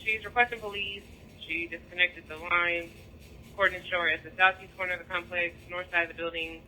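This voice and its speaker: A man's voice: sits high, flat delivery, a little fast, bright, forceful tone. An American man working as a police dispatcher, giving clipped procedural calls over the radio. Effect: police radio transmission. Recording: lightly noisy police scanner radio.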